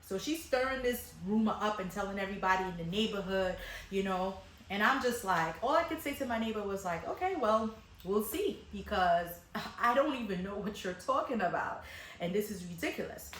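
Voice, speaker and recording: A woman's voice: medium pitch, lively intonation, brisk, fairly bright tone, slight background noise. A woman telling a personal story casually and expressively, with slangy asides to viewers, rhetorical questions and acted-out bits of dialogue. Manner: chatty and slangy, addressing viewers directly. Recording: echoey, reverberant room.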